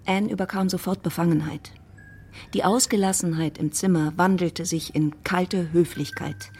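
A woman narrating a German literary radio play in a composed, measured storytelling manner. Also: fairly low pitch, wildly swooping pitch, darkish tone, slight background noise.

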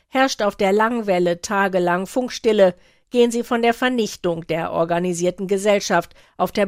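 A woman's voice: mid-range voice, no room sound, clean studio sound. A woman reading a scripted radio report as a foreign correspondent.

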